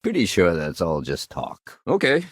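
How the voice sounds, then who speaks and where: A man's voice: sits low, wildly swooping pitch, unhurried, no room sound, clean studio sound, full character voice. An actor playing a no-nonsense, dutiful male city security sergeant in a video game, with a clipped, official, wry delivery. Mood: businesslike.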